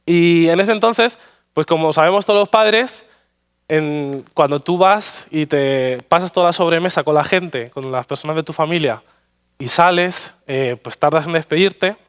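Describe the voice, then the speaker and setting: Hombre madrileño predicando, voz clara y aguda. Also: male voice, on the high side, normal speed, smooth. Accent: Madrid Spanish. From Spain. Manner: warm storytelling, self-deprecating humor. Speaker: guest preacher. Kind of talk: anecdotal sermon with prayer. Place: church sanctuary.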